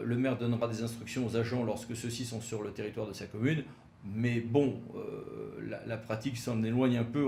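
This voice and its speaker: A man's voice: medium pitch, darkish tone. Guy explaining stuff like a law lecture, stresses his points, lots of hesitating, echoey room w a bit of noise. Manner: didactic, emphatic signposting with frequent hesitations. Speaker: legal expert presenting. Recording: roomy echo with faint background noise.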